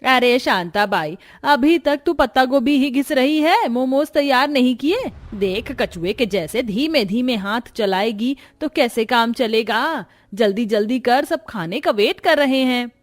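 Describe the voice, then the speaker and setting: A woman voicing a bossy, scolding middle-aged mother-in-law in a cartoon, sharp-tongued and commanding. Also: a little high, animated, unhurried, hardly any pauses. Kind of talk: cartoon voice acting.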